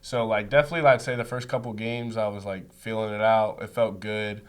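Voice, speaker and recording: A man's voice: lowish voice, fairly even intonation, fairly quick, clean tone. A young man who plays college basketball, answering interview questions in an easygoing way that is full of "like". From United States. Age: young adult. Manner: easygoing, says like a lot. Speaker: student athlete guest.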